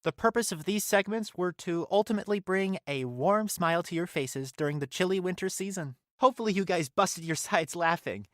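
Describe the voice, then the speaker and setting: A woman's voice: on the low side, a little fast, few pauses, no echo, clean studio sound, natural voice. A woman voicing an earnest, friendly young hero, politely and cheerily hosting a scripted holiday show. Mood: cheerful. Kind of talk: scripted show sign-off, audio drama.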